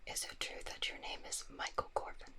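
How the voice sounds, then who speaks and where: A secretive female doctor in a vampire underworld, acted by a woman, speaks in a bright, hushed whisper. She is stern, clinical and curt, in the manner of an ASMR fantasy roleplay. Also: brisk, lightly acted. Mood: clinical, intrigued.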